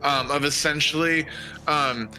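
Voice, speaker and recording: A man playing a tabletop roleplaying game, narrating his character's moves and lines in a halting, think-aloud way. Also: fairly high pitch, steady tone, low-quality recording. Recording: music playing behind. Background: background music.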